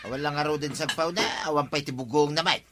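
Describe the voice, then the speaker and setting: A man's voice: fairly high pitch, fairly even intonation, quick pace, music in the background, close to an everyday voice. A man playing a resigned, muttering village husband in an Ilocano comedy drama.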